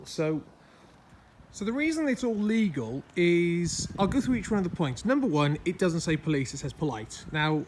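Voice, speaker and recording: A hoarse man, chatting casually in a rambling, self-mocking way as he walks through his car for a vlog. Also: fairly high pitch. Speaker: car vlogger.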